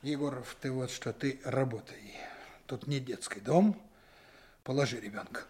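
A man's voice: medium pitch, a little slow, darkish tone, natural voice. An actor playing a commanding, sardonic detective leading a 1920s raid in a Soviet radio drama, brusque and ironic as he gives orders. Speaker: police detective in charge of a search. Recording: noisy old radio play recording, clicks.

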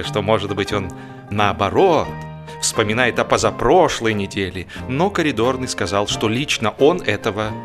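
A man reading a humorous literary story aloud in an expressive, wry, theatrical storytelling manner. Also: fairly low pitch. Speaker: audiobook narrator and actor.

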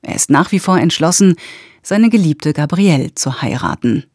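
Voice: medium pitch, lively intonation, normal speed, a little mellow, dry recording, clean studio sound. An announcer giving a formal, factual scripted recap of a radio drama series.

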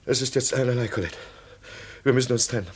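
An actor playing a frightened, broken lover fresh from prison in a 1950s German radio drama, halting and anxious. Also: male voice, medium pitch, a little mellow, husky, natural voice. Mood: resigned. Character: fearful, broken lover just freed from prison.

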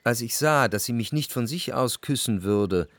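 A man's voice: mid-range voice, lively intonation, a bit bright, no room sound, natural voice. Actor as anxious, brooding man narrating, dry close sound. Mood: brooding, introspective. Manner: measured, introspective, literary phrasing. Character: anxious, jealous, brooding lover recalling his life as narrator. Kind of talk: literary radio drama narration with quiet dialogue.

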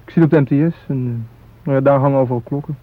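An actor playing a chatty, naive young technical-school student, rambling colloquially in a radio drama, with a husky voice. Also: male voice, mid-range voice, fairly even intonation, fast, lightly acted. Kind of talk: radio drama dialogue, casual chat. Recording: tinny phone-like old radio recording.

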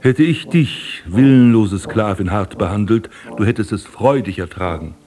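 A man's voice: fairly low pitch, normal speed, mellow, soft background music, lightly acted. An actor playing a proud, possessive aging prince and father figure, solemn and declamatory in a poetic radio drama. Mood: proud, self-righteous. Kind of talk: literary German radio drama acting.

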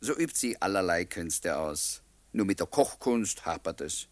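A man's voice: low-pitched, expressive, measured pace, no echo. An actor playing a genial writer in his late twenties, narrating a radio drama and courteously confiding in his listeners. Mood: wry, genial. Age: 20s. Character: chatty, genial 29-year-old writer showing visitors around.

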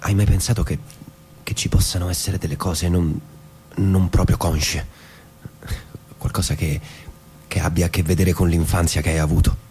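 Over a faint rumble, an actor plays an earnest husband in a naturalistic drama. His voice is deep and mellow, and he reasons in careful, halting phrases as he justifies himself. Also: fairly even intonation, natural voice. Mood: careful probing. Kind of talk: naturalistic stage drama dialogue.

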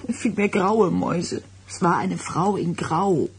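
An actress playing an anxious, self-doubting young expectant wife in a German radio drama, speaking in a halting, hesitant way. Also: female voice, lowish voice, expressive. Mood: uneasy, hesitant. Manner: hesitant, halting, repeating words. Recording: radio play with background noise.

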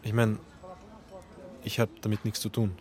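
An actor playing a dry, evasive, grumbling film crew assistant in a German radio drama. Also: male voice, lowish voice, little pitch movement, moderate pace, darkish tone, close to an everyday voice.

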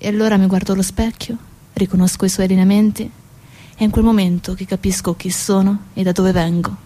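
An actress playing a bitter, grieving daughter in a theatrical monologue, speaking emphatically with deliberate pauses. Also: female voice, medium pitch, flat delivery, dark tone, close to an everyday voice. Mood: tender, reflective. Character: grieving, bitter daughter of a dead factory worker. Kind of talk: theatrical monologue over guitar. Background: acoustic guitar music.

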